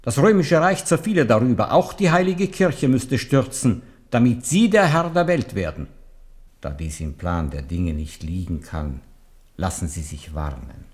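Radio play casting: elderly papal legate, lower register, measured, formal, admonishing, falsely humble. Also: male voice, sing-song, a little mellow. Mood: stern, accusing. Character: bowed old papal legate, outwardly humble, coldly warning. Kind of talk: 1970s German radio drama acting.

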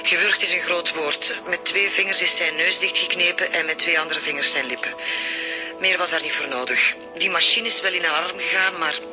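An actress with a Belgian Dutch accent playing a breezy forensic expert in a radio drama, explaining findings in a familiar, wry way. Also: female voice, on the low side, a little fast, music in the background, natural voice. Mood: wry, brisk.

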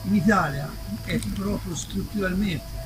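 A man's voice: sits high, unhurried. A man in his sixties making an impromptu, insistent complaint from the audience at a live event. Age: 60s. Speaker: audience member, publicist. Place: outdoor festival. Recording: noisy live outdoor recording.

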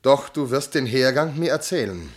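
An actor playing a jealous, tormented noble commander and husband in classical verse radio drama, declaiming intensely. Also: male voice, medium pitch, animated, normal speed, lightly acted. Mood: strained, brooding. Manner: intense declamation, from hushed to outbursts.

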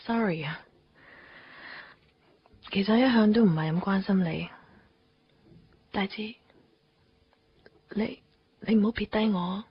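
Insecure young girlfriend, husky soft voice, muffled lo-fi sound. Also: mid-range voice, takes it slowly, long pauses, heavily acted. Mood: pleading. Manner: soft and pleading. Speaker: the hero's girlfriend. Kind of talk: Cantonese radio drama acting. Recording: dull lo-fi radio recording.

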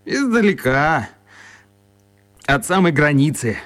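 An actor playing a plump young foreign spy, earnest and comically matter-of-fact, in a radio play. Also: male voice, a little high, big pitch swings, takes it slowly, a bit bright, soft background music, full character voice. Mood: weary.

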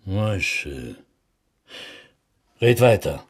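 A terse, uneasy butcher in a radio drama. His low, husky voice has a Yiddish accent. He talks slowly and insistently, with big swings in pitch. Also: big pitch swings, exaggerated voice. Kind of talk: German radio drama acting.

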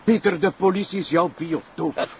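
A man's voice: high voice, moderate pace, a little mellow. A man with a Flemish accent playing a loyal, caring, principled police brigadier in a crime drama, in an earnest way. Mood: calm, concerned. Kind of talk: naturalistic TV crime drama acting. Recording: dull lo-fi drama audio, faint noise.

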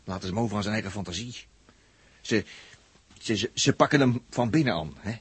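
An actor playing an excitable, brooding spaceship crewman who stammers and searches for words in a 1960s radio drama. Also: lowish voice, brisk, husky, dry recording. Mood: urgent, explaining.

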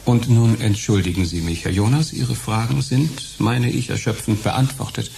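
An actor playing an unctuous, evasive funeral director who talks in pompous, stilted formalities in a radio play. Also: male voice, lowish voice, moderate pace, gravelly, background noise.

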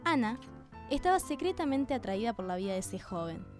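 A woman's voice: a little high, big pitch swings, a little fast. An Argentine woman reading scripted story narration for a radio drama. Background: music behind the voice.

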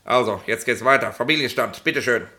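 An actor playing a smug, petty police inspector in a 1960s German radio play, rambling in officialese with colloquial tag questions. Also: male voice, medium pitch, brisk, sharp tone, natural voice. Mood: officious, rambling.

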